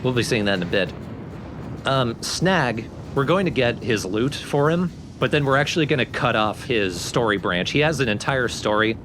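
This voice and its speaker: A man's voice: lively intonation, normal speed, music in the background. A man giving casual, informal gameplay commentary, explaining things as the host of a let's play. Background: game sound effects and rumble.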